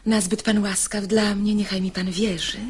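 An actress playing a poised, shrewd married lady fending off a suitor, with husky, breathy voice and mannered verse delivery in a radio drama. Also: mid-range voice, moderate pace, lightly acted. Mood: gracious, polite. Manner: mannered, expressive declamation.

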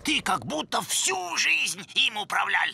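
A man voicing an earnest cartoon inventor penguin with a German accent and halting, foreign-sounding grammar. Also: high-pitched, very animated, creaky, bright, forceful tone, husky, soft background music, full character voice. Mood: excited. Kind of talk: cartoon voice acting.

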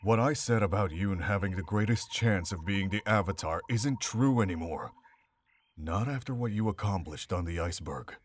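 A man playing a gentle, remorseful old monk mentor in an animated drama, husky and solemn. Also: deep voice, normal speed, a little hiss or hum.

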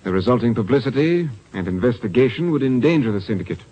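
A man plays a stubborn statesman of middle age in vintage radio drama. He is brisk and commanding, with lively swings of pitch, on a dull, faintly noisy old recording. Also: natural voice. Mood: defiant. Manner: brisk, authoritative, clipped. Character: stubborn, commanding politician and protective father. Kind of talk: 1950s radio drama acting.

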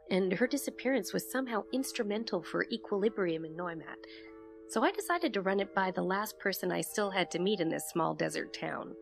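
A woman playing an inquisitive investigative reporter, recounting her case as measured scripted audio drama narration. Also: medium pitch, gravelly. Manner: measured, storytelling.

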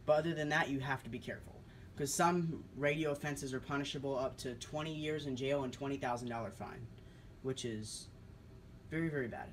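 A man's voice: mid-range voice, brisk, a little hiss or hum, poor audio. A man giving a casual, unscripted demonstration in an informal, explanatory way. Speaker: hobbyist video creator demonstrating two-way radios. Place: indoors, kitchen-like room with countertop.

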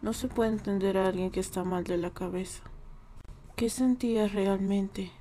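A woman's voice: mid-range voice, fairly even intonation, moderate pace, mellow, slight background noise, lightly acted. A woman with a Latin American accent voicing a vengeful, brooding young cartoon pig in a fan dub, hesitant and solemn. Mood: somber, reflective. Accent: Latin American Spanish.

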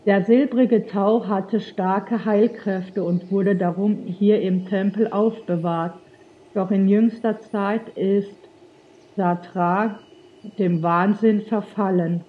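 A woman with a slight cold reading out the lines of a solemn elven temple priestess from a game quest, slowly and in her own voice. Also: medium pitch, narrow, tinny audio, lightly acted.

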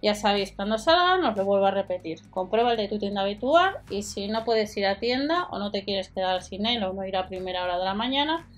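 A woman talks viewers through a shopping catalogue, chatty and direct, reeling off prices and sizes. Her voice sits at a middle pitch. Faint music plays under the voice-over. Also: animated, fairly quick, few pauses. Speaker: shopping video host. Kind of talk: narrated product walkthrough. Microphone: voice-over with a desk microphone.

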